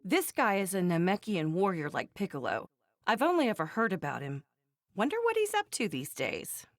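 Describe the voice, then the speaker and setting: A woman's voice: medium pitch, sing-song, normal speed, no echo, clean studio sound, close to an everyday voice. A woman voicing a doting, strict and blunt mother in an English anime game, with a motherly, pointed manner. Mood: frank.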